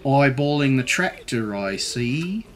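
A man's voice: mid-range voice, expressive, slow pace, slight background noise. A man in his thirties reading a children's book aloud in a theatrical, jokey manner, with playful asides. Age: 30s. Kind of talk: expressive storybook read-aloud with playful asides. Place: living room, seated on a couch.

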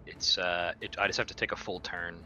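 A man with a low but very forceful, bright voice chats casually as a tabletop player. He is sweary and joking, on slightly dull audio. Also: fairly even intonation, brisk. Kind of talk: casual game chat.

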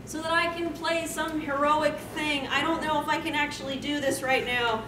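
A woman's voice: high voice, steady tone, normal speed. A young woman doing a live comedic dramatic reading as a panel host, with wry, theatrical, self-interrupting delivery. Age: young adult. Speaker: convention panel co-host reading fan fiction. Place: convention panel room stage. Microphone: far camera. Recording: echoey room from far off, noisy.

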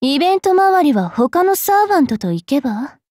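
Haughty high-voiced vampire fairy girl. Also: very animated, normal speed, darkish tone, no room sound, clean studio sound. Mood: demanding, aloof. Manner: brash, teasing, rough-spoken. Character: haughty, mischievous young female vampire fairy priestess. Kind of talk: anime game character voice lines.

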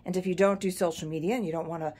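A middle-aged woman with a fairly low voice walks through her antique shop, chatting casually about each piece and its price. She films on a handheld phone indoors among the glass shelves. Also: steady tone, very fast, warm tone. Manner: chatty, pricing items as she goes. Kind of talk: casual video shop tour. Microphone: handheld phone camera.

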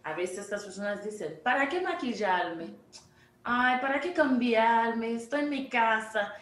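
A woman's voice: fairly high pitch, a little slow, fairly bright tone. A woman from Haiti in her forties, an image consultant, explaining earnestly over a video call from her home studio, with small restarts as she talks. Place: home studio room indoors. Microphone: video call from a laptop camera. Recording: echoey distant video-call audio.

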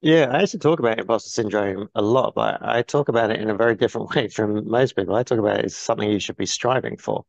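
A man's voice: fairly low pitch, fast, gravelly, hardly any pauses, no room sound. A man, a guest speaking candidly in a casual interview over a video call, rambling a little with restarts. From born in New Zealand, grew up in the UK. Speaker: guest, business board coach. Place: small bright room, indoors. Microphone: earphone mic on a video call. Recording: dull dry video call audio.

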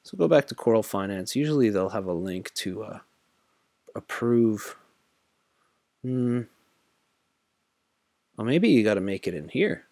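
A man's voice: fairly low pitch, lively intonation, a little slow, long pauses, mellow, no room sound, clean studio sound. A tired man casually thinking aloud while walking through a screen-recorded tutorial, rambling with many fillers. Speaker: crypto tutorial host.

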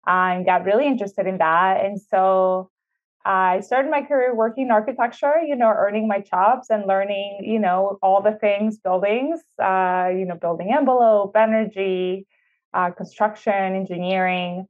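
A woman's voice: mid-range voice, normal speed. A woman in her thirties, originally from Ecuador, answering interview questions in a chatty way with many fillers, speaking over a video call. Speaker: city sustainability official, podcast guest. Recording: tinny phone-like video call audio.

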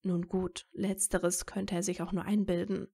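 A woman's voice: on the low side, level tone, a little slow, creaky, mellow, slightly rough. A woman reading scripted narration for a fan fiction audio story.

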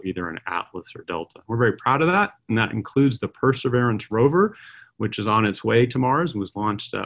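A middle-aged man presents a conference keynote as an aerospace executive. His voice sits low and has a gravelly fry. He talks in a measured way, often pausing on uh and um as he moves through his points. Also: a bit bright. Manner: measured, with frequent uh and um fillers.

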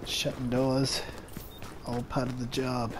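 Sarcastic gamer guy over loud gunfire. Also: mid-range voice, monotone, slow, background noise. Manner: sarcastic, joking, mock-heroic. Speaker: gamer voicing the protagonist as a joke. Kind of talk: improvised comic gameplay commentary. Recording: loud gunfire and game sound behind. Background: game gunfire and ambience.

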